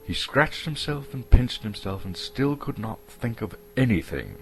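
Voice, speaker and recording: A man's voice: medium pitch, sing-song, a little slow, gravelly, fairly bright tone, poor audio. A man from Canada giving a dramatic storybook narration as the narrator.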